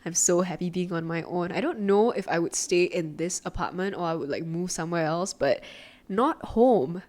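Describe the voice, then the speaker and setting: A woman in her twenties with a Singaporean accent, hosting her own podcast in a relaxed, chatty way, with lots of "like" fillers, from her apartment. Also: fairly low pitch. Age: 20s. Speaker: podcast host. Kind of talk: casual solo podcast talk.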